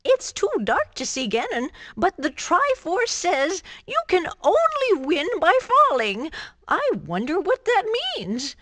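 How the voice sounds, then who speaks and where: A woman's voice: very high voice, huge pitch swings, a little slow, fairly bright tone, full character voice. A woman voicing a young princess in a cartoon game, fretting over bad news. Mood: worried. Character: young princess narrating a magic vision. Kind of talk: 1990s cartoon game voice acting.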